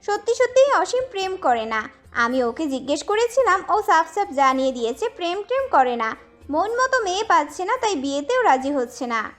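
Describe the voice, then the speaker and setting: A woman as a very high, lively young wife. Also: moderate pace. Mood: earnest. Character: sweet, teasing young wife. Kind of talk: audio story acting. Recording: music under the voice.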